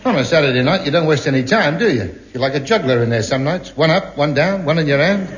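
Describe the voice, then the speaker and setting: Man doing sly mate, teasing wisecracks, lively pitch swings, dull old radio sound. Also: quick pace, lightly acted. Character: sly, mocking working-class London mate. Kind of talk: 1950s radio sitcom acting.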